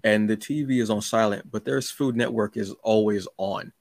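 A man with a low voice hosts a casual interview podcast. He talks effusively and rambles, doubling back on words as he goes. The sound is dry and close, with very little room in it. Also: moderate pace, no echo.

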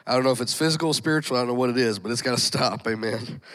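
A man's voice: mid-range voice, rapid-fire, a bit bright. A man preaching a sermon to his congregation, sounding nasal and congested from a cold, punctuating lines with frequent amens and folksy asides. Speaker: pastor. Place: church sanctuary with congregation.

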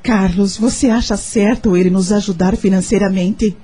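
A woman's voice: medium pitch, fairly even intonation, normal speed, warm tone, breathy edge, close to an everyday voice. A woman acting in a radio soap opera as a hard-working, anxious mother, speaking with hesitant emotion. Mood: hesitant, worried.

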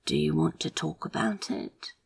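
A woman voicing a worried, tender man in an audio fiction reading, speaking quietly, carefully and in earnest. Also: low voice, huge pitch swings, moderate pace, creaky, breathy edge, distant mic, pitched below the performer's own voice, exaggerated voice. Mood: careful concern.

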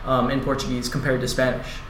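A man, a native English speaker, speaking as a guest in a relaxed, easygoing way. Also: mid-range voice, fast, slight background noise. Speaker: guest, language learner. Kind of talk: casual panel discussion. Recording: echoey room.

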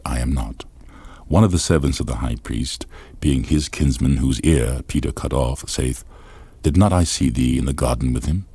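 A man narrating scripture in a grave, deliberate, formal reading style. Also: extremely low pitch, a little mellow, husky, no room sound. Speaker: Bible reader.

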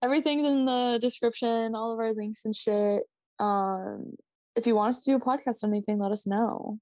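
A woman's voice: a little high, fairly even intonation, moderate pace, darkish tone, no room sound, studio quality. A woman with a Minnesotan accent co-hosting a casual podcast, rambling, restarting herself, laughing and swearing as she talks. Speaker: podcast co-host. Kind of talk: casual chat.

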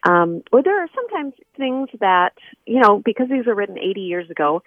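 A woman's voice: medium pitch, very animated, a little fast, no echo. A woman guest explaining her scholarly work informally and conversationally in an interview over a remote call. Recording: thin phone-like line.

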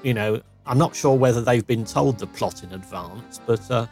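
Brief: male co-host, gravelly fry in the voice, reflective, faint music. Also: mid-range voice, moderate pace, darkish tone. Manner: reflective, agreeable, frequent hums. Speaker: podcast co-host. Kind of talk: casual podcast chat.

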